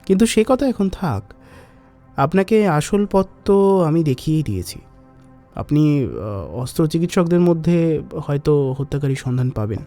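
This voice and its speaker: An actor playing an analytical, imaginative forensic professor who explains deliberately in a detective audio drama. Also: male voice, on the high side, animated, warm tone, faint music behind, close to an everyday voice. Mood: reasoning.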